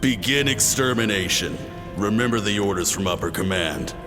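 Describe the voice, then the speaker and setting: A man's voice: lowish voice, animated, moderate pace, lightly acted. Cruel military captain in cavernous reverb played by a man. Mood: cold, contemptuous. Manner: menacing, mocking. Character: cruel commanding military captain hunting villagers. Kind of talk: dramatic video game acting. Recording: long hall reverb under loud music.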